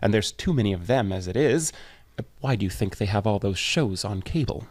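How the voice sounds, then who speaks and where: Man playing an exasperated, animated grim reaper. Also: on the low side, fairly quick. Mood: weary, frustrated. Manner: wry, conversational, complaining. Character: exasperated, wry Death, a weary male grim reaper. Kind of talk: comedic audio drama dialogue.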